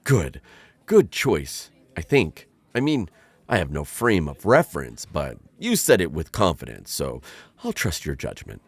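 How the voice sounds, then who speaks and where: Casting a male actor as a stiff, formal insectoid alien hunter who hesitates and stammers, with a low, swooping voice, for a dry ASMR roleplay. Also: wildly swooping pitch, measured pace, creaky, husky, no echo, heavily acted. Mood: earnest.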